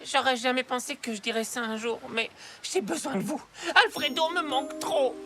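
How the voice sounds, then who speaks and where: A woman voicing a bossy, mischievous young bully boy in an animated cartoon, brash and demanding. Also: high voice, moderate pace, slight background noise. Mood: needy, reluctant. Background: music.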